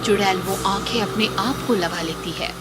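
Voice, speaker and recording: A woman's voice: mid-range voice, moderate pace, heavy background noise. A woman narrating a scripted horror story as a dramatic storyteller. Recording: loud music behind the voice.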